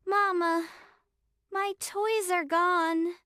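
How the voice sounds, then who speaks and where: Casting: a sorry little girl for a bedtime story, high, unhurried voice, earnest and pleading. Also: fairly even intonation, pushed and bright, no room sound, studio quality, full character voice. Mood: sad, forlorn. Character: sad, sorry little girl missing her toys. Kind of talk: children's bedtime story character voice.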